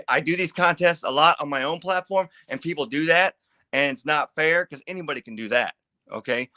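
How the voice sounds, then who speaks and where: Bright, dry-voiced man in his forties. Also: on the high side, no echo. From California, USA. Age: 40s. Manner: candid, plainspoken, casual grammar. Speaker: recovery advocate guest. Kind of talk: personal testimony.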